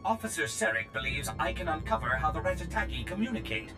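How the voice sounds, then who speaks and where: An actor playing a polite, eager protocol droid translator in a video game, with formal, precise speech. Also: male voice, on the low side, very animated, fast, music in the background. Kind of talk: video game character acting.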